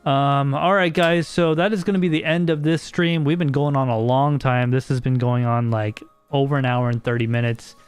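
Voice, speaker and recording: A man's voice: mid-range voice, steady tone, moderate pace, few pauses, smooth, dry recording, faint music behind. An American man hosting a casual podcast livestream, chatting with viewers and reading their messages, often repeating words as he thinks aloud. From United States.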